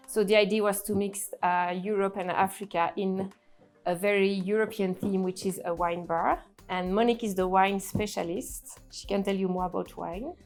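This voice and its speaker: A woman from Belgium, a restaurant co-owner explaining her place in a plain, slightly halting way. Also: medium pitch, steady tone, darkish tone. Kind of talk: casual explaining interview. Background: music playing.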